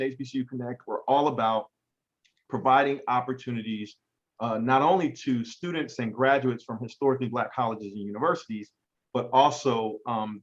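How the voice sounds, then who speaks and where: Male event host, casual and conversational, mid pitch, slightly dull lo-fi sound. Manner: casual, conversational, frequent fillers. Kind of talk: virtual event hosting.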